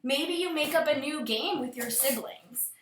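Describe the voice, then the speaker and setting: A woman teacher reading aloud to young children in an expressive storytelling manner. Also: high voice, unhurried, echoey room, background noise. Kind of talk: expressive picture-book read-aloud.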